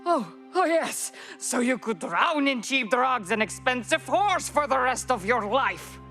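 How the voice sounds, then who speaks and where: A woman's voice: lowish voice, a little slow, soft background music, lightly acted. A woman playing a vengeful, bitter revolutionary in a video game, delivered dramatically and indignantly. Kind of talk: video game cutscene acting.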